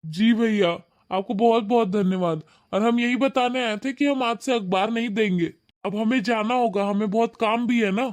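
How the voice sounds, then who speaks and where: A man's voice: sits high, moderate pace, hardly any pauses, dry recording, close to an everyday voice. A man playing a humble young newspaper seller. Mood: polite. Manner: polite, pleading, deferential. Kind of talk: cartoon voice acting.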